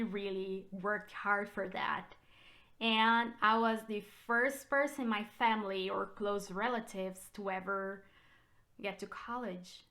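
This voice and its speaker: A woman from Brazil in her thirties with a Brazilian Portuguese accent, her first language being Portuguese, hosting a personal vlog and telling her story in an unhurried, reflective way. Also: medium pitch, a bit bright. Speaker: realtor and channel host. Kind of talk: personal vlog talk to camera.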